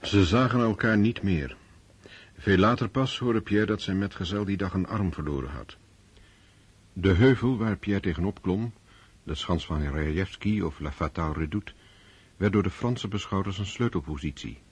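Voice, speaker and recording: An actor playing the sober narrator of a war epic, reading scripted radio drama narration. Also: male voice, low voice, moderate pace, slightly rough.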